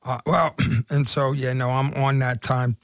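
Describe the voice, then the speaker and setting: Gravelly Philly man, halting talk. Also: medium pitch, level tone, dry recording, studio quality. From Irish heritage. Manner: halting, many fillers and restarts. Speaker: radio co-host. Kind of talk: casual radio chat.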